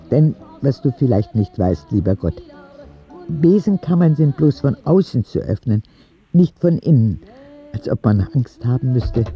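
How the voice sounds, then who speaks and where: A man's voice: medium pitch, muffled recording, natural voice. A man playing a frank, cheeky ten-year-old boy who tells his story in candid, wry audio drama narration, in standard German. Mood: resigned.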